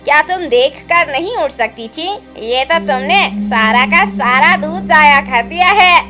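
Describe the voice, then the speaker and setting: A woman's voice: sits high, lively intonation, a little slow, bright, forceful tone, faint music behind, exaggerated voice. A woman voicing an exclamatory male bird who addresses his flock in a children's cartoon, declaiming as he goes. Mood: alarmed, puzzled. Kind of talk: Hindi children's cartoon dubbing.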